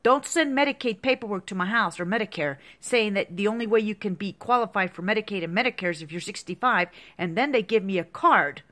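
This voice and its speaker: A woman's voice: mid-range voice, brisk, hardly any pauses, a bit bright. A woman in her fifties in an agitated, repetitive rant to the camera, heavy with profanity. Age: 50s. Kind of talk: angry monologue to camera.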